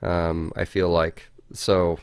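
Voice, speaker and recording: Lowish-voiced rambling man, very dry sound. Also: flat delivery, slow, vocal fry, clean tone, no echo. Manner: hesitant, rambling, self-deprecating. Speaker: podcast co-host. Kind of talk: casual chat.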